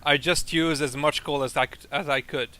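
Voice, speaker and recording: A man's voice: a little high, steady tone, normal speed, pushed and bright. A young man chatting casually and teasing his friend while explaining their factory in a game. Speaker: co-host playing a factory game. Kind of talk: casual chat over gameplay.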